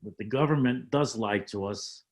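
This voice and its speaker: Halting older man recalling his past. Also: medium pitch. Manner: measured, halting, with many fillers. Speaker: panel guest. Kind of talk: reflective storytelling on a panel.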